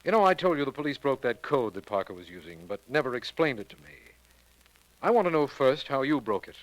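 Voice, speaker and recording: A man's voice: medium pitch, sing-song, brisk, dry recording, lightly acted, rough recording. A man playing an earnest, puzzled prosecutor. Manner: earnest, plain-spoken, easily puzzled. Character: district attorney, earnest and practical, detective's friend. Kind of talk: 1940s radio acting.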